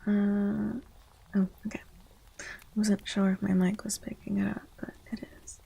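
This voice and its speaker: Soft, breathy woman in slow ASMR chat. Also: medium pitch, little pitch movement, rough recording. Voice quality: soft, breathy, often slipping into whispers. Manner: gentle, unhurried greeting of viewers. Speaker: livestream host, VTuber.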